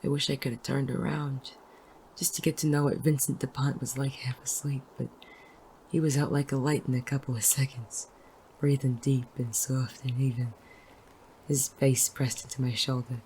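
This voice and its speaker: An actor playing a gruff, wry, smitten male private detective in a noir audio drama, with teasing, plain-spoken tough-guy phrasing. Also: mid-range voice, level tone, normal speed, darkish tone, slight background noise, natural voice, low-quality recording. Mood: tender, brooding. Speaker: narrator and lead character. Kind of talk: noir audio drama, first-person narration and banter.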